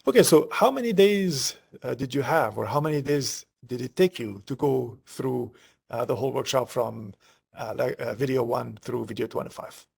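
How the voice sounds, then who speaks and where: Man, interview host, creaky voice, medium pitch, pauses mid-question, conversational. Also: darkish tone.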